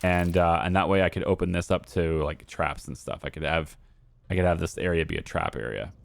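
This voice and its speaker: A man with a hoarse voice that he says he is losing, thinking aloud in casual let's-play commentary as he plays a game. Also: sits low, a little fast. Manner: thinking aloud, muttering to himself and the viewers. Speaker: gaming YouTuber. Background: game music.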